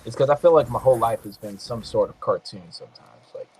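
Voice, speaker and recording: A man's voice: medium pitch, fast, darkish tone, husky, poor audio. Joking slangy man, a bit nasal. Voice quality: sometimes nasal. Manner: loose, joking, slangy trash talk. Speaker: gaming podcast host. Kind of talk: casual gameplay commentary.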